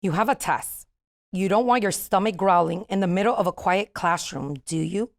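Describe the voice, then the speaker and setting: A woman's voice: mid-range voice, moderate pace, vocal fry, close to an everyday voice. A woman at a comedy table read playing a caring but firm mother in her fifties. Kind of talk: table reading of a comedy script.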